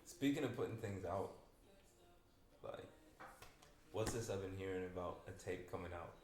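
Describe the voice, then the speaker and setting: A man's voice: sits low, normal speed, a little mellow. A young man hosting a podcast, chatting in a laid-back, rambling way and filling his talk with "like.". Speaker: podcast host. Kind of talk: casual podcast chat. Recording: roomy room with faint noise.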